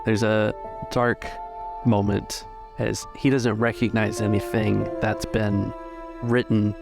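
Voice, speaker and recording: A man's voice: on the low side, flat delivery, slow pace, creaky. A man narrating scenes as game master of a tabletop roleplaying game. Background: music.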